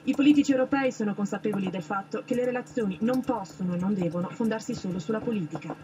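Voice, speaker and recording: A woman reading a scripted news report in a brisk, formal manner. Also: mid-range voice, lo-fi sound.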